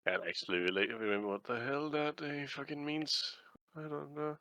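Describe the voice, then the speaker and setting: A man's voice: a little high, fairly even intonation, moderate pace, bright, forceful tone, dry recording. A man chatting casually while playing a game, rambling, swearing often and trailing off mid-sentence. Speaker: let's play co-host. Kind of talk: casual gaming chat.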